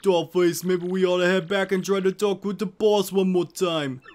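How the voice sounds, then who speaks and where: Man doing a high-pitched lovestruck gangster henchman. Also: fairly even intonation, normal speed, a little mellow, a little hiss or hum, natural voice. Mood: pleading. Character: lovestruck cartoon gangster henchman, smooth-talking and pleading.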